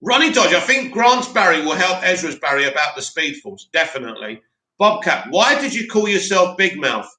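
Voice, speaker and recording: A man hosting a live stream, reading out viewer comments and answering them in a loud, opinionated way with lots of restarts. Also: sits high, big pitch swings, moderate pace. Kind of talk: casual live chat. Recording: echoey room.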